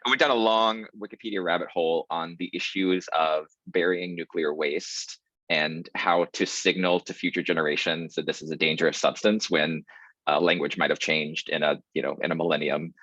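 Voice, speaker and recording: A man's voice: low-pitched, fairly even intonation, moderate pace, bright, forceful tone, no echo. A man speaking as a panelist on a video call, enthusiastic and rambling. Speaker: panelist, senior associate. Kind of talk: casual panel talk. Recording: dull dry video call audio.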